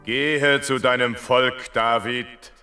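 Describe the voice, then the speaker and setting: Man acting majestic, very slow God. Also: medium pitch, fairly even intonation, music in the background, close to an everyday voice. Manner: solemn, drawn-out. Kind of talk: radio play acting.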